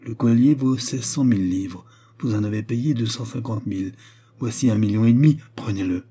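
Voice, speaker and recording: Grave, jealous noble lover for a historical audiobook, played by a man: solemn, declamatory, lowish, husky. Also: normal speed, dark tone. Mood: urgent pleading. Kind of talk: dramatized historical novel reading.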